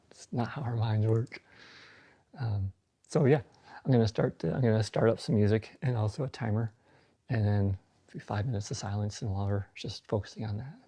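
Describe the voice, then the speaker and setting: A man guiding a meditation during a casual live stream on his phone, speaking in a calm, soothing way. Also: lowish voice. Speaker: meditation guide. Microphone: phone on a social video live stream.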